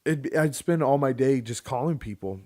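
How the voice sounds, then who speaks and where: A man's voice: mid-range voice, brisk, darkish tone. Man in his thirties, podcast host, casual rambling chat, frank, a bit of swearing.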